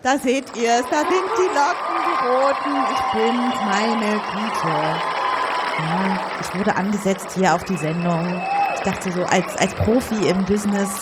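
A woman's voice: medium pitch, measured pace, a loud crowd, lightly acted. A woman playing an evasive, exasperated ex-contestant in disguise in an improvised comic radio play, with dramatic delivery. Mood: resigned, confessing. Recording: noisy echoey hall, live stage.

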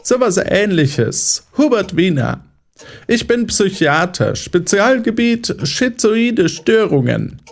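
A condescending male psychiatrist played by a man in an audio drama, with a fairly high voice. He explains in a smug, measured way, with very lively intonation. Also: full character voice. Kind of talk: German audio drama acting.

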